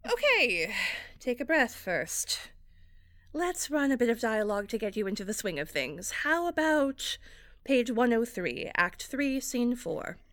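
A woman's voice: a little high, very animated, a little slow, fairly bright tone, no echo, clean studio sound. A woman playing a stern, demanding theater director with a formal, clipped manner, in an audio drama. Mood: brisk, coaching. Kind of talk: audio fiction acting.

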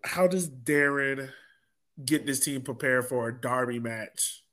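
A man's voice: mid-range voice, takes it slowly, pushed and bright. A man hosting a soccer podcast, talking casually in a rambling way and often repeating himself. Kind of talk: casual sports discussion.

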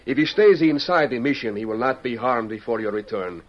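A man's voice: mid-range voice, animated, brisk, natural voice. A man playing a proud, grateful Mexican leader with a Mexican accent and formal, effusive speech in an old-time radio western. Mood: earnest. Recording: dull old radio recording.

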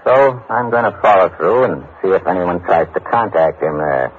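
An actor playing a wry, colloquial American undercover investigator, narrating and acting in an old radio drama. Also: male voice, deep voice, moderate pace, lightly acted. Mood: brisk. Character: wry, hard-boiled undercover investigator narrating his case. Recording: tinny old radio recording with music.

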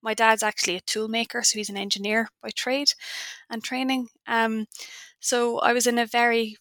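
A woman's voice: fairly high pitch, level tone, moderate pace, fairly bright tone, no echo. A woman with an Irish accent giving candid, reflective, conversational answers as a science author being interviewed. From Ireland.

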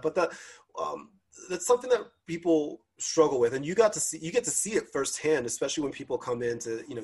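A man's voice: mid-range voice, slightly rough. A man hosting a casual panel chat, upbeat and friendly, laughing often and repeating his words. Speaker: panel host.

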